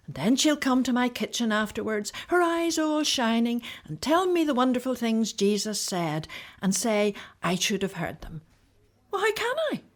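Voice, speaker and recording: A woman playing a fussy, overworked, resentful housewife in a radio play, complaining in a flustered way. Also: fairly high pitch.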